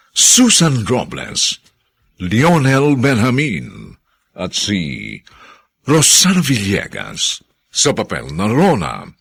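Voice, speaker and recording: A male radio drama announcer with a gravelly, husky voice. He narrates formally and theatrically, with extremely animated pitch. The sound is old-fashioned serial announcing. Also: dry recording.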